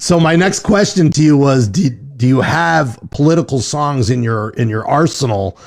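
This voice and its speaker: A man's voice: mid-range voice, lively intonation, normal speed, gravelly. A man hosting a talk show, asking wordy, conversational questions in a casual interview style.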